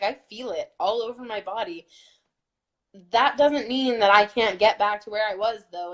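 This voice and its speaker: A woman of middle pitch chats casually, giving earnest encouragement. Her talk is loose and open, full of "like" as she speaks. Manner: earnest, pep-talk tone with filler "like". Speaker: fitness challenge group co-host. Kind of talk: casual motivational chat.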